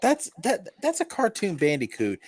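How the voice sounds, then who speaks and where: Animated, profane man in a dry room. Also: high voice, moderate pace, slightly rough, no room sound. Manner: profane, bombastic, emphatic ranting. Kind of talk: casual gaming chat.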